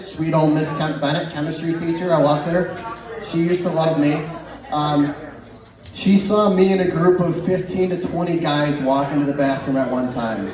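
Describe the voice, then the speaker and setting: A man's voice: a little high, a little mellow. A young man telling a rambling, informal comedy story to a live audience, often interrupting himself. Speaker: amateur stand-up storyteller. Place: large echoey indoor space with live audience. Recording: echoey room from far off, audience noise. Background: audience laughter and chatter.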